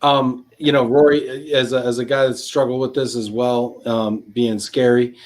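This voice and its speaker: A man's voice: mid-range voice, level tone, moderate pace, a little mellow. A man hosting a live online panel, reading audience questions and laughing at his own slips over a video call. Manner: stumbling, self-deprecating, laughs at himself. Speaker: host.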